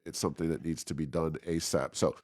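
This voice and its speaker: An American man co-hosting a conversational political commentary podcast, speaking in a measured, halting, hedging way. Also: rumbling low, fairly even intonation, darkish tone, dry recording, studio quality.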